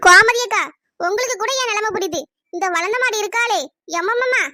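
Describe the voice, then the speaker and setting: Woman voicing a squeaky, indignant village gossip. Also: fairly quick, bright, forceful tone, dry recording, clean studio sound. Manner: grumbling asides, indignant retorts. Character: gossipy village woman, evasive, defiant, easily irritated. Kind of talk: cartoon village comedy dialogue.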